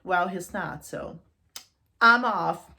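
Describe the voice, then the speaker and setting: Woman playing a cheeky young fairy-tale girl, raised pitch. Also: slow, creaky, bright, forceful tone, exaggerated voice. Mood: breezy, defiant. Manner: sassy, modern slangy delivery.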